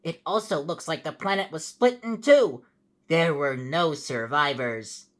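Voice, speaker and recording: A woman's voice: low-pitched, unhurried. A woman voicing a dutiful royal messenger in a cartoon, formal and deferential. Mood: grave.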